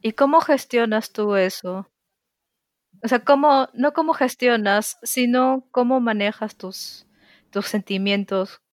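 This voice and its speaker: A woman interviewer asking slow, probing questions. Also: on the high side, no echo.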